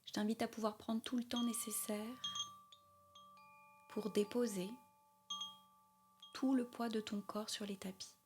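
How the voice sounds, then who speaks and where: A woman leading a guided yoga nidra meditation in a soothing, unhurried way, with soft, breathy phrase endings. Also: fairly high pitch, level tone. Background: meditation music with chimes.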